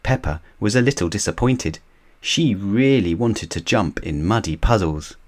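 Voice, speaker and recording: A man reading a children's picture book aloud in a gentle, measured bedtime manner. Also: lowish voice, lively intonation. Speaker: reader of children's books.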